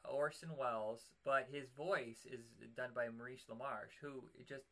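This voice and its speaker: A man's voice: medium pitch, steady tone, measured pace, bright, forceful tone. A man with a nasal voice, hosting a podcast in casual, rambling chat. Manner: rambling, self-deprecating. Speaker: podcast host.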